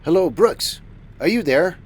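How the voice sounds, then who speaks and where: A man's voice: sits high, expressive, measured pace, slightly rough. A man hosting a show in character as a trucker captain, with a cheerful, folksy manner.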